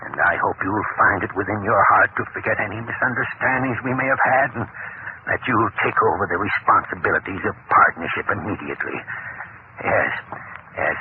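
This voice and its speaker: An actor playing an awkward, contrite older businessman, halting and formal, in old radio drama style. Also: deep voice, close to an everyday voice. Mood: stiff, contrite. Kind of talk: 1940s radio drama acting. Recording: tinny, noisy old radio recording.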